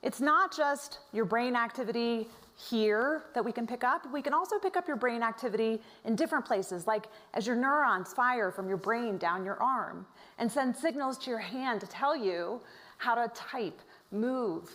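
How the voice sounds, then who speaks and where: A woman speaks as an expert presenter, explaining things to the public. Her voice is somewhat high, and she is articulate, placing measured emphasis on each example. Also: expressive, a bit bright.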